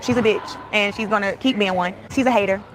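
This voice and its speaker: A woman's voice: mid-range voice, steady tone, brisk. A woman speaking casually, as if in an interview, in a replayed clip. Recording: faintly noisy replayed clip.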